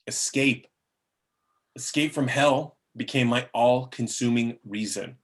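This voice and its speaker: A man's voice: medium pitch, slow. A man acts out a scheming demon with a mid-range male voice. He delivers grandiose, self-justifying villain speeches with bitter theatricality, in the loose style of a table read. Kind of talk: amateur table reading of a film script.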